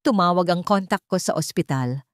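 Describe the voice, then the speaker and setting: A woman's voice: on the low side, expressive, no room sound, clean studio sound, lightly acted. A woman voicing a male private investigator who reports urgently in a storybook audio drama. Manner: hurried.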